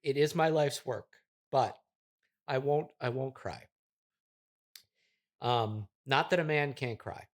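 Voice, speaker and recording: A self-important tech founder played by a male improv performer, earnest and halting as he explains himself with deadpan seriousness. He is easily choked up and sits at an ordinary middle pitch in a comedy interview. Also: close to an everyday voice. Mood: defensive, emotional. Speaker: podcast guest playing a character.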